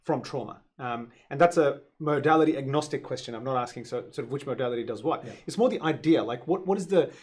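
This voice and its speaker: A man's voice: medium pitch, fast, few pauses, darkish tone, husky. A man hosting a panel discussion, putting questions in an informal, conversational way and often checking in as he goes. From India. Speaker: host.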